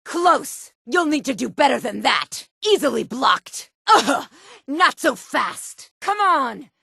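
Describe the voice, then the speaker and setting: Game role: sultry, sharp-tongued fantasy townswoman, haughty and theatrical, unhurried delivery, very expressive pitch. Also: vocal fry, slightly rough, no echo, studio quality, heavily acted. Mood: fierce, taunting. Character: sultry adult fantasy townswoman, haughty, flirtatious, quick to threaten. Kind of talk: video game voice lines, fantasy dialogue and combat barks.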